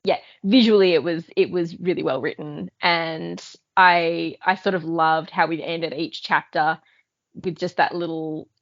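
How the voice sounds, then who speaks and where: A woman's voice: lowish voice, fairly even intonation, unhurried, no room sound. A woman co-hosting a podcast, chatting casually and enthusiastically about books. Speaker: podcast co-host. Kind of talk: casual book discussion. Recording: dull, band-limited sound, dry.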